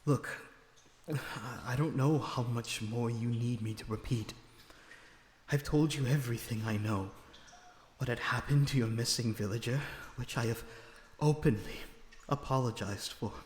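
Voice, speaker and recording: A man playing a lonesome captive vampire in an audio roleplay, weary, bitter and sardonic, with measured dramatic phrasing. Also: mid-range voice, lightly acted. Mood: weary frustration. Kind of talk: ASMR audio roleplay acting. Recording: echoey reverberant room with background noise.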